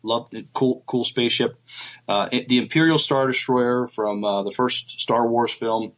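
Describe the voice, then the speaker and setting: A man co-hosting a casual podcast, speaking in a halting way with frequent pauses. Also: medium pitch, fairly even intonation. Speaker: podcast co-host.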